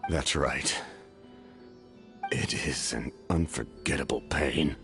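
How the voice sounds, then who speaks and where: A man's voice: a bass voice, slow pace, fairly bright tone, faint music behind, heavily acted. A man voicing a grim, embittered survivor of a fallen nation in a video game, stern, cold and formal. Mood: grim, unyielding. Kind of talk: scripted video game voice acting.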